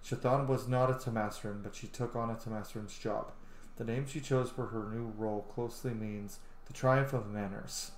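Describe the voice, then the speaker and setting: A man's voice: fairly low pitch, fairly even intonation, normal speed. A man in his thirties hosting a gaming video with casual let's play commentary. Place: small room indoors at home. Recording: roomy echo, faint background noise.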